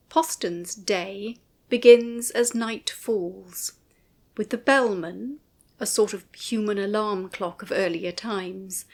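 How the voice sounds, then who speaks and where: A woman reading a scripted commentary in a measured, formal and precise manner. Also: medium pitch. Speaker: narrator. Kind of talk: scripted documentary commentary, read aloud.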